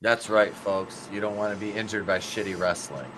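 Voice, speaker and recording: A man's voice: on the low side, fairly even intonation, normal speed, a bit bright, a little hiss or hum, low-quality recording. A man, co-hosting a wrestling podcast, telling rambling, profane stories about ring announcing. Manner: swears freely, rambling storyteller. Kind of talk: casual profane chat.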